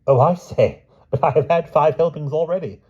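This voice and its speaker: Brief: a man playing a shy, bumbling king; high and fumbling. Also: moderate pace, darkish tone, husky, dull audio. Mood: flustered. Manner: faint, fumbling, apologetic. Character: bumbling, flustered middle-aged king.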